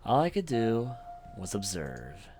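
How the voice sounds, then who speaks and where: Deep-voiced man reading a visual novel, animated. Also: slow pace, slight background noise. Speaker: let's play host reading a visual novel aloud. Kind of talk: animated read-aloud narration with off-the-cuff commentary.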